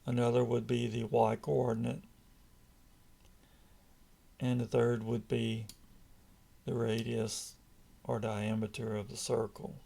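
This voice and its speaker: A man with a raspy voice, calmly walking through a software tutorial over a screen recording. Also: medium pitch. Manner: slow, measured, patient explaining. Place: indoors at a computer, voice-over on screen capture.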